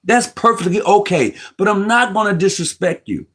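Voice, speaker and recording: A man's voice: sits high, expressive, normal speed, a bit bright. Emphatic man arguing a point. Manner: emphatic, argumentative, often restarts words. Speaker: host. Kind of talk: casual Masonic discussion.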